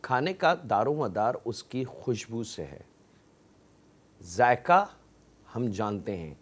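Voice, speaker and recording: A man with a Pakistani Urdu accent hosting a cooking show, politely addressing viewers as he explains each step of the recipe. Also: on the low side.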